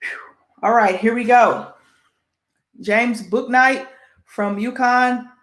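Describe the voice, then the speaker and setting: A woman's voice: a little high, slow, a bit bright, echoey room. A woman with an American Midwest accent hosting a sports show casually, chatty and self-deprecating as she reads through lists and sounds out names.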